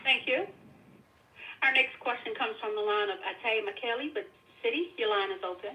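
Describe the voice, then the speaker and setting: A woman's voice: on the high side, normal speed. A woman working as a conference call operator, making formal scripted announcements. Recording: dull phone-like call audio.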